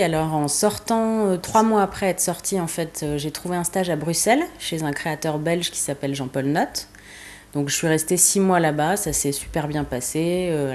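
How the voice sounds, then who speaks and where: A young woman with a notably low-pitched voice, answering interview questions in a casual, meandering way. She hesitates often, restarts her sentences and pads them with fillers. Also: darkish tone. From eastern France. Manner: hesitant, many fillers, laughs easily. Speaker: fashion designer guest.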